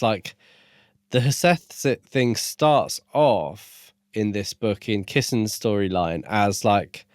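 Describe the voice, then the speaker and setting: Low-voiced man in dry, close sound. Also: dry recording. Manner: tentative, thinking aloud. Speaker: book podcast co-host. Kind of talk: casual reflective discussion.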